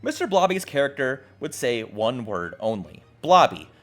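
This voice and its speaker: A man's voice: mid-range voice, sing-song, normal speed, a bit bright. A man hosting a video essay, narrating from a script with casual, profane asides in an irreverent, jokey, emphatic way. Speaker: host of a video essay show. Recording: music playing behind the voice. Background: background music.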